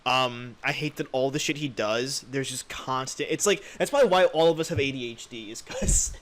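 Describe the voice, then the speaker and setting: A man's voice: mid-range voice, lively intonation, moderate pace. Young American male podcast co-host, casual, opinionated and unfiltered, free with swearing. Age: young adult. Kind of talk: casual chat.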